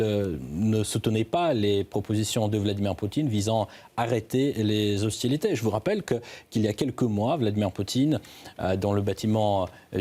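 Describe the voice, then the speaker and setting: Halting man giving mellow news analysis. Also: lowish voice. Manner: halting, hesitant delivery with repeated word starts.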